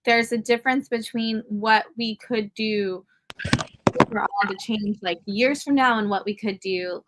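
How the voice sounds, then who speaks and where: Female industry panelist, medium pitch, informal and explanatory, casual discussion. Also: steady tone, unhurried, very noisy.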